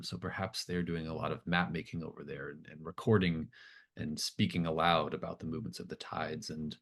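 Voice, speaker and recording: A man acting as game master, improvising narration for a tabletop game in a hesitant, thoughtful, informal way. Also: rumbling low.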